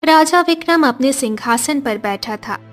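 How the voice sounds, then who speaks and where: A woman's voice: high-pitched, expressive, unhurried. A woman reading scripted narration for a storybook cartoon, with music behind her. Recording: background music under the voice.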